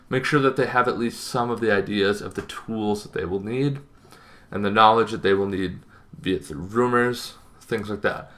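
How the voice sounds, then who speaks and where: A young adult man giving an informal explainer to camera as a host, talking in an animated, conversational way and thinking aloud with asides. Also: on the low side. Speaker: host of a tabletop roleplaying advice channel. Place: small bedroom, indoors.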